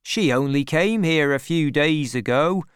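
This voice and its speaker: A woman's voice: deep voice, animated, measured pace, smooth, no echo, studio quality. A woman voicing hearty, sociable, plain-spoken village farm men in a storybook reading. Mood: welcoming.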